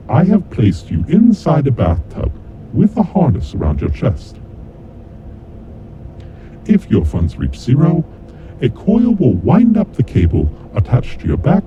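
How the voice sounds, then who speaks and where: A man playing a coldly vengeful kidnapper mastermind in a horror audio drama, announcing deadly rules in a deliberate, formal, taunting way. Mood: menacing, taunting. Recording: dull lo-fi audio with clear rumbling noise.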